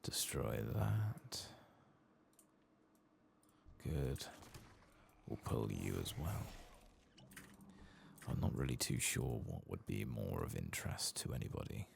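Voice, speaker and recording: A man speaks in an extremely deep, soft voice that crackles heavily with vocal fry. He murmurs an unhurried, musing ASMR commentary over a game, sometimes slipping toward a whisper. Faint noise sits behind him. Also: sing-song. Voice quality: soft, hushed, breathy at times. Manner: unhurried, musing, murmuring to himself while playing. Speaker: gaming video creator.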